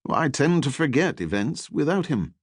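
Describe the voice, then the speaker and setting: A man's voice: on the high side, sing-song, normal speed, husky, voice pushed up. A man voicing an eager elderly archaeology professor, courteous and scholarly, who lectures with animation in an audiobook.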